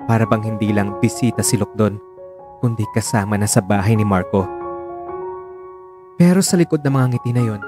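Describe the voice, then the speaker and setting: A man's voice: lowish voice, normal speed, long pauses. A man reading scripted story narration for a radio drama. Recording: voice over background music.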